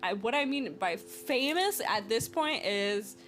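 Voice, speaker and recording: A woman's voice: fairly high pitch, animated, unhurried, a bit bright, soft background music. A woman in her twenties hosting a podcast, talking alone in a rambling, playful way and laughing often. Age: 20s. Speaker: podcast host. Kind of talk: casual solo podcast monologue.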